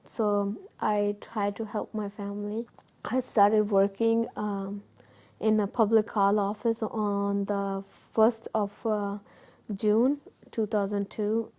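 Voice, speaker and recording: A woman's voice: mid-range voice, little pitch movement, slow pace, dark tone. Pakistani woman, earnest and hesitant. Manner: earnest, hesitant, many fillers and restarts. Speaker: guest telling her story. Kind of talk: personal testimony.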